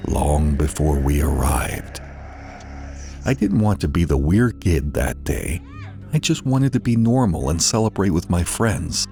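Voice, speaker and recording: A man narrating a scripted horror story in a deliberate, dramatic delivery with weighted pauses. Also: low voice, gravelly.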